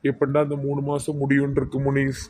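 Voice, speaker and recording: Man doing a young husband, affectionate, clingy, flat even voice, mid pitch. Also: fast talker, slightly rough, close to an everyday voice. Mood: tender. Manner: tender, plain and flat-toned.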